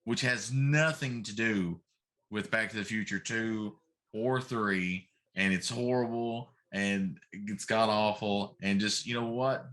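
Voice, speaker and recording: A man's voice: fairly low pitch, takes it slowly, a bit bright. Middle-aged man ranting casually. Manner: ranting, emphatic complaining. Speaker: talk show host. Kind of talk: casual chat about video games.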